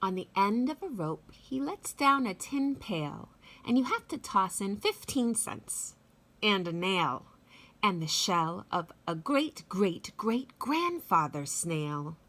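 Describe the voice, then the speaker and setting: A middle-aged woman talking and reading aloud to young children in an expressive teacher's manner. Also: medium pitch, takes it slowly. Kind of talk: children's read-aloud with chatty introduction. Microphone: phone at arm's length.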